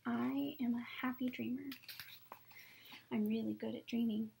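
A young adult woman reading picture books to children at story time in a soft, hushed, breathy manner. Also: fairly high pitch, steady tone, measured pace, slight background noise. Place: small room at home, indoors.

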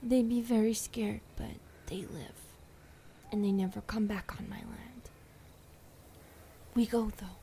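A woman voicing a shy, affectionate, feral skinwalker girlfriend in an ASMR roleplay, soft and often whispering in halting broken English. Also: mid-range voice, steady tone, breathy edge, full character voice. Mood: apologetic, eager.

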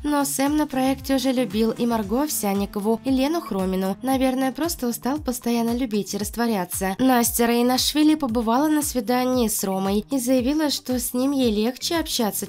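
A woman's voice: fairly high pitch, a little mellow. A woman with a cold narrating scripted celebrity gossip news in a chatty, slightly sarcastic way, over background music. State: has a cold. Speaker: celebrity news channel host.

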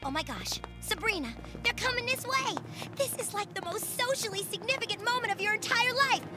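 A woman voicing an excitable preteen best friend in a cartoon, gushing in teen slang. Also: very high voice, a little fast, over music. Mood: breathless excitement. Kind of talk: animated series dialogue.

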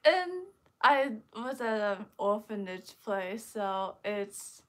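A young woman from China, a guest on a livestream, speaking hesitantly with many pauses and fillers. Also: mid-range voice, animated. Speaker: guest and chat moderator. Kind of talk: casual talk, reading chat comments. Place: living room with bookshelves, indoors.

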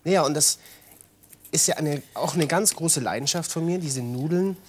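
A man's voice: fairly high pitch, moderate pace, noisy background. A man in his forties from Italian-speaking Switzerland, a native Italian speaker, chatting hesitantly with many fillers while cooking as a studio guest. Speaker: guest cook. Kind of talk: casual cooking chat. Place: TV studio kitchen.

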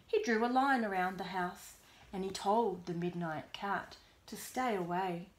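A woman reading a bedtime storybook aloud to a young child in an expressive, deliberate way, pausing to prompt. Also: mid-range voice. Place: small bedroom at home. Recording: roomy echo, background noise, clicks.

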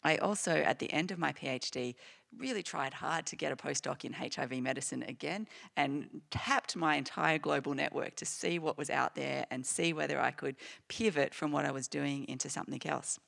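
Gravelly-voiced Australian woman on a panel. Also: sits low, normal speed, a bit bright. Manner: earnest, reflective, with fillers and restarts. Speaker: panelist, clinician-scientist. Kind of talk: panel discussion answers. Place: lecture hall stage.

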